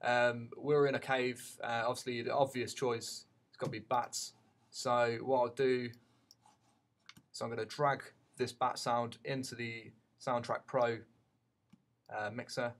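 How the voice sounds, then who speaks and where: Flat-toned man teaching in a halting way. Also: medium pitch. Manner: halting, thinking aloud. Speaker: media teacher giving a software tutorial. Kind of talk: unscripted tutorial narration.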